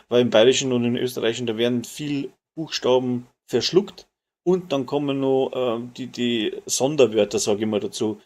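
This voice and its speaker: A man with a clear Bavarian accent talks in a loose, colloquial way while gaming. His voice sits at a middle pitch, and his speech leans toward standard German while keeping the dialect's flavour. It sounds like an easygoing live-stream chat. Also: normal speed, a little mellow. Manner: colloquial, dialect-tinged, mild swearing. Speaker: live streamer playing a video game.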